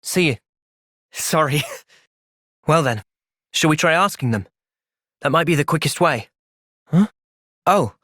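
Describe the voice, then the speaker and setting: An actor playing an earnest, thoughtful young soldier in a video game, soft-spoken and sincere but resolute. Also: male voice, fairly high pitch, lively intonation, a little slow, long pauses, dry recording, studio quality. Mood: calm, thoughtful. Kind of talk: video game cutscene acting.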